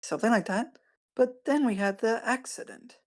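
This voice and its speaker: A woman's voice: a little high, normal speed, darkish tone, lightly acted. A woman playing a distracted, impatient mother of forty, curt and dismissive, in an amateur screenplay table read. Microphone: video call.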